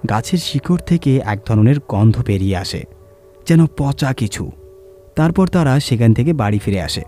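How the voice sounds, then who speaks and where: A man's voice: medium pitch, animated, moderate pace, mellow, faint music behind. A man reading a scripted horror story as narrator, in a dramatic storytelling style.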